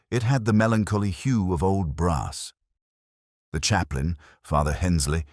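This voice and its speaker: A man voicing an ageing, melancholy detective, narrating a literary radio drama in a measured, reflective, solemn manner. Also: low-pitched, dry recording, studio quality, full character voice. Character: ageing, melancholy male detective, wry and brooding.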